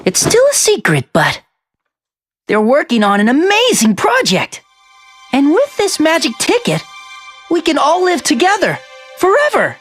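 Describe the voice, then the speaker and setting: Earnest boyish big brother in a game, slow n very up and down, soft music. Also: female voice, sits high, huge pitch swings, heavily acted. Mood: excited. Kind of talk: video game voice acting. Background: faint music.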